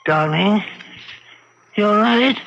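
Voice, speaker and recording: A high, bright male voice on a muffled recording: a fussy, bookish husband in a radio mystery. He lectures and insists with pedantic, anxious concern. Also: takes it slowly, gravelly, heavily acted. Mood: bewildered, anxious. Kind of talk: old-time radio mystery acting. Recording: muffled, faintly noisy radio drama recording.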